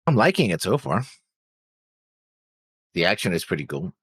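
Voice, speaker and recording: Deep-voiced, lively male co-host. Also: normal speed, slightly rough, no echo, studio quality. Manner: short agreeing interjections. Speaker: co-host reacting. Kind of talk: casual reaction chat.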